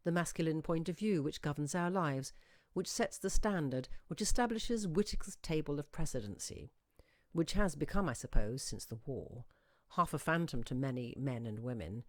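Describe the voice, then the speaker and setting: A woman reading a literary short story aloud in a reflective, deliberate way, with expressive pauses and emphasis. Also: deep voice, no room sound, clean studio sound. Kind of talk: expressive literary audiobook narration.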